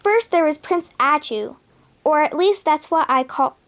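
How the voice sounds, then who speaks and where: Storybook princess, young, spirited and romantic, high earnest voice played by a young female reader. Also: animated, measured pace. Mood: recounting.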